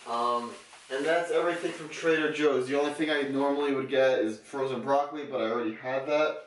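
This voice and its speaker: Young man, fitness vlogger, casual explaining tone, middle pitch, faint background hiss. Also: a little fast, rough recording. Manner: relaxed, explanatory, filler-heavy. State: getting sick. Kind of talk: casual vlog talk to camera.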